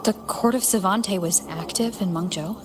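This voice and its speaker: An actress playing a composed, perceptive young wanderer heroine in a game, speaking thoughtfully. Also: female voice, mid-range voice, moderate pace, lightly acted. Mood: pensive, resolute. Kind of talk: scripted game dialogue.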